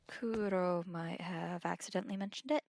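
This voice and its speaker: A woman's voice: on the low side, moderate pace, vocal fry, darkish tone, no room sound, clean studio sound. An actress playing a teasing, pointed woman guard in an audio drama. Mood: teasing, probing. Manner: probing, pointed. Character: pointed, teasing young female guard seeking trust.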